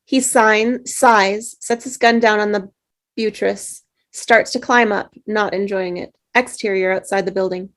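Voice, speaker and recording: A woman reading the stage directions at a table read, in plain, steady narration. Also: medium pitch, a little slow.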